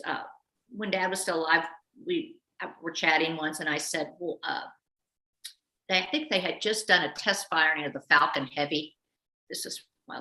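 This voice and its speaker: A woman hosting a casual interview, speaking haltingly and repeating words as she thinks. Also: on the low side, bright, forceful tone, roomy sound, rough recording. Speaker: host.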